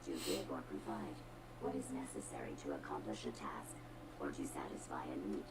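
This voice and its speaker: Very deep, haughty robot woman. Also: fairly quick, very noisy. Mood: coldly clinical. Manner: disdainful, precise, formal diction. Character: haughty, condescending female robot with cold contempt for humans. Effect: robotic voice filter. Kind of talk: video game character dialogue.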